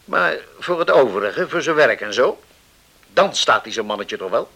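A man playing a steady, contented middle-aged island bachelor in a Dutch radio drama, calm and plain-spoken as he reassures. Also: medium pitch, normal speed, vocal fry, exaggerated voice. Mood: reassuring.

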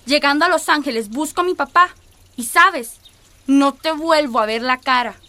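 Bright, high, cheeky young migrant. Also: female voice, moderate pace, dry recording. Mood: playful. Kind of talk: radio drama dialogue.

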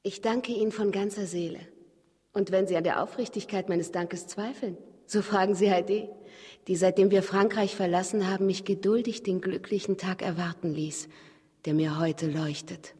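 An actress playing a gentle, devoted young woman in love in a German radio drama, with a breathy voice. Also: mid-range voice, steady tone, normal speed, close to an everyday voice. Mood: grateful, fervent. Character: tender, grateful young beloved, gentle and devoted. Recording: long cavernous reverb.

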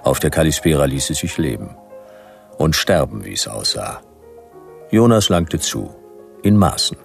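A laconic noir detective in a radio play. The voice is rock-bottom deep and full of creak, telling the story in short, dry sentences. Soft music runs underneath. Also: male voice, big pitch swings, a little slow, full character voice. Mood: wry, watchful. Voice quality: gravelly. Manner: dry, clipped, terse narration. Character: laconic hardboiled male private detective, aged 96, narrating.

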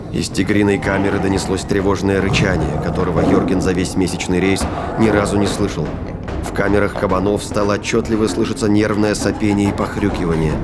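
A man's voice: low-pitched, very noisy. A man reading dramatic scripted narration as the narrator of an audio drama. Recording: loud music behind. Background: loud music and effects.

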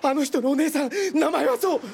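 A man's voice: very high-pitched, brisk, gravelly, darkish tone, a little hiss or hum. An actor playing a frantic young male stalker who pleads and breaks into laughter in a radio drama. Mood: eerie.

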